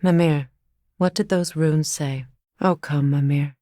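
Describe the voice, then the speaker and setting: Middle-aged warrior goddess, game companion, composed, dryly witty, slightly low pitch, dry studio sound. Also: female voice, fairly even intonation, unhurried, warm tone, no echo. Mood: knowing, gentle. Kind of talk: video game voice acting, companion lines.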